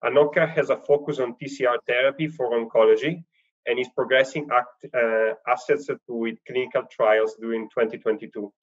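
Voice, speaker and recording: A man's voice: mid-range voice, fairly even intonation, fairly quick. Guy chairing a panel, formal intros, muffled audio. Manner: formal introductions with occasional fillers. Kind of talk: moderating a panel. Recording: dull lo-fi sound.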